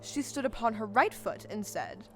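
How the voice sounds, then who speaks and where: A woman's voice: on the high side, lively intonation, normal speed, poor audio. A woman playing the storyteller of a fairy-tale audio play, narrating in a theatrical, scripted way. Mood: storytelling. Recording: live stage show with loud music underneath.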